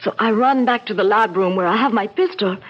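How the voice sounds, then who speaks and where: An actress playing a flustered lab assistant. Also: female voice, medium pitch, brisk, close to an everyday voice. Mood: shaken. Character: excitable young foreign woman lab assistant. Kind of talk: 1970s radio drama acting. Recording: muffled old radio broadcast.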